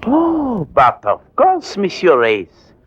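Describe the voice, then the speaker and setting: An obliging French maître d' in a vintage radio drama. His delivery is theatrical and deferential, high and a bit husky, on a dull recording. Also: huge pitch swings, takes it slowly, dry recording, heavily acted. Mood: obliging, arch. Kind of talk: 1940s radio acting.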